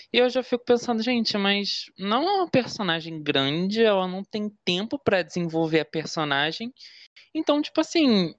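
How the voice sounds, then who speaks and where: A woman's voice: deep voice, dry recording, highs cut off. A woman in a casual film chat, talking with fillers and hesitations as she recalls facts. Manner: chatty, hesitant, trails off with fillers.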